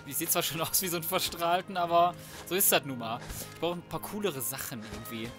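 A man plays a video game and comments casually in a fairly high voice. He is slangy and cocky, often talking half to himself. Clear game music runs behind him. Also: fast talker. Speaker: let's player. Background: video game music and sounds.

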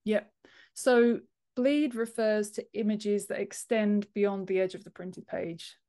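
A woman's voice: mid-range voice, fairly even intonation, slow pace, dark tone. A woman in her thirties giving explanatory answers as an interview guest on a video call. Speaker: podcast guest, book formatter. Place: home office, indoors, on a video call. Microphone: wired earphones on a video call.

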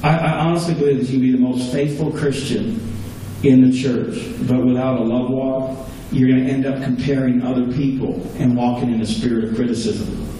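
A man's voice: mid-range voice, steady tone, brisk, warm tone. A man preaching a sermon as pastor in a small church, earnest and repeating key phrases for emphasis, recorded from a camera at the back. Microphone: far camera at back of room. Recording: echoey room, distant camera, faint hum.

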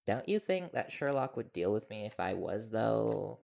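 Man acting an easygoing, creaky-voiced boyfriend. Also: fairly low pitch, very animated, moderate pace, a little mellow, full character voice. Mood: casual, wary. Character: easygoing, teasing young reporter boyfriend, human man. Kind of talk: audio roleplay drama.

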